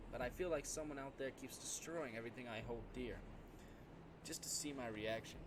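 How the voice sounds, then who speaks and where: A man reads the part of a bitter young woman reporter from a visual novel, too proud to break down. The delivery is weary and understated at a middling male pitch, on a noisy recording. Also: natural voice.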